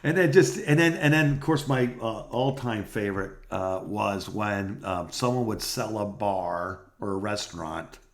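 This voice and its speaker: A man's voice: on the low side, a little slow, few pauses. Middle-aged man, rambling casual talker. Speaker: podcast co-host, former radio host. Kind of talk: casual chat, reminiscing.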